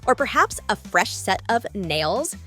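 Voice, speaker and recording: A woman playing a sassy, vain, gossipy young officer in an animated comedy, speaking with emphasis. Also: medium pitch, a little slow, soft background music. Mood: sassy, evasive.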